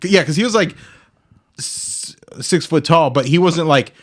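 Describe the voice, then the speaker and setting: A man's voice: fairly high pitch, expressive, a little slow. Informal man hosting a casual podcast. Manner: informal, loose, swears casually. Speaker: podcast host. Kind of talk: casual chat.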